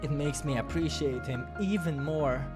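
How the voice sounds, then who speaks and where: A man's voice: fairly high pitch, moderate pace. A man hosting a playthrough, narrating a visual novel with playful, amused and crude casual commentary. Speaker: host of a game playthrough. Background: music.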